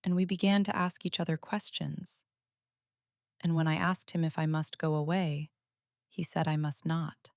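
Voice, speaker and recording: A woman voicing an earnest, matter-of-fact little girl who speaks softly but firmly, in a storybook reading. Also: sits low, little pitch movement, moderate pace, no echo, studio quality. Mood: wondering.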